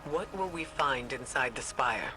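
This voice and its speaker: An actor playing a cautious, firm military leader in a video game, measured, serious and watchful. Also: male voice, mid-range voice, expressive, natural voice, low-quality recording. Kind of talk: scripted video game voice acting.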